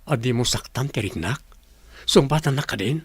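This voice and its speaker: An actor with an Ilocano accent playing a lovesick, self-pitying boss in a radio drama. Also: male voice, mid-range voice, expressive, moderate pace, slightly rough, dry recording. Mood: hurt.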